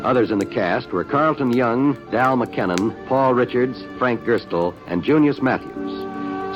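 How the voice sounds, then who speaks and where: A man giving a formal, measured network announcement in 1950s radio style. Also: on the low side. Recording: dull old broadcast over music.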